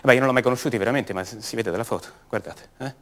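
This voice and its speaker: An actor playing an anguished young son of a disappeared mother in a radio drama monologue, halting and repeating words with intensity. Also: medium pitch, fairly bright tone, close to an everyday voice. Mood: tender, wistful. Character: anguished young son of a disappeared woman, raised by her captors.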